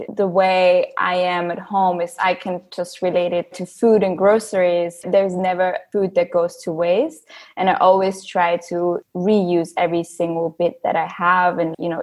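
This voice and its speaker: Young woman from austria chatting about her work, bit of a low voice, lots of um and you know. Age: young adult. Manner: chatty, loose, frequent fillers like "you know" and "um". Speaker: guest, handbag designer. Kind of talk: casual interview answers.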